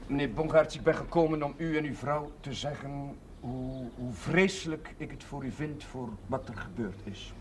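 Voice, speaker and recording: An actor playing an earnest, contrite village chaplain who speaks formally and carefully in a television period drama. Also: male voice, medium pitch, normal speed, hardly any pauses, darkish tone, natural voice. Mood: earnest sympathy. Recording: clear background noise, 1970s television soundtrack.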